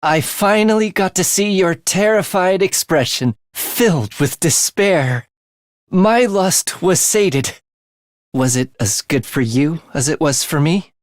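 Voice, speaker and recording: A man's voice: on the high side, expressive, takes it slowly, no echo, clean studio sound. A man voicing an eerie, bloodthirsty young male student in a video game, with a sinister, theatrical manner that savors morbid details. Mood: aroused menace. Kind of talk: video game character voice acting.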